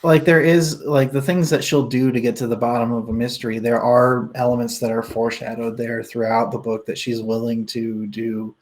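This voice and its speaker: A man's voice: medium pitch, little pitch movement, moderate pace, hardly any pauses, darkish tone. A man in a casual book discussion, talking in an informal, rambling way as a co-host. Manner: rambling, informal, laughs often while talking.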